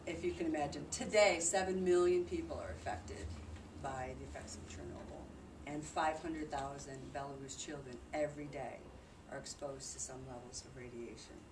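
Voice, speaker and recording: Female presenter, low voice, conversational explainer giving an informal group talk; noisy, echoing room recording. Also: steady tone, normal speed, few pauses. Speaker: presenter reporting on a charity trip. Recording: distant mic in noisy, echoey room.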